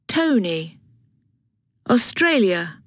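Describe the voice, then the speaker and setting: A woman voicing a friendly young schoolboy in a textbook dialogue, speaking slowly and clearly. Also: mid-range voice, sing-song, no echo, studio quality, full character voice. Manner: slow, clearly spoken greetings.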